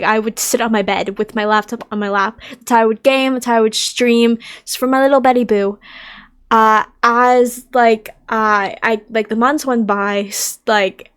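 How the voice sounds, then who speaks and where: A woman's voice: fairly high pitch, fairly even intonation, measured pace, fairly bright tone, clear, resonant tone. A woman in her twenties, chatting casually to camera in a rambling, self-deprecating way with lots of fillers. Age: 20s. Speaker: streamer and content creator.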